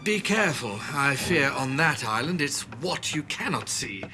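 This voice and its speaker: A man's voice: medium pitch, sing-song, normal speed, noisy background. A man playing an elderly British butler in a video game, polite, formal and deferential. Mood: worried. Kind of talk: video game cutscene acting. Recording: game audio under background music.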